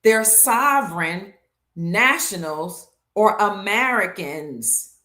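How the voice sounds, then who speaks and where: A woman's voice: mid-range voice, expressive, sharp tone. A woman hosting her own show, delivering an emphatic monologue to viewers, leaning on key words and stacking them in lists. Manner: leans hard on key words, slows for stress. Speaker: show host. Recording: roomy echo.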